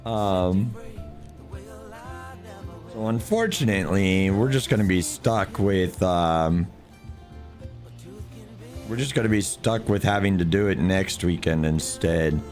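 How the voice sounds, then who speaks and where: A man streaming a driving game with a hoarse, unusually deep voice from losing it, talking quietly and chatting with viewers. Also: slow pace, long pauses, faint music behind. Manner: talks quietly to spare his voice; chats to viewers, chuckles at himself. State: hoarse, lost voice. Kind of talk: casual livestream commentary while playing.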